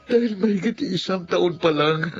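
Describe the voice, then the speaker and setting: An actor with a Filipino accent playing a heavy-hearted, remorseful middle-aged widower in a radio drama. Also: male voice, sits high, moderate pace, husky, lo-fi sound, soft background music. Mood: anguished regret.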